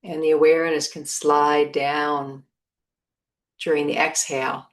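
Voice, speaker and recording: A woman leading a guided meditation in a measured manner, pausing between short phrases. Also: sits low, little pitch movement.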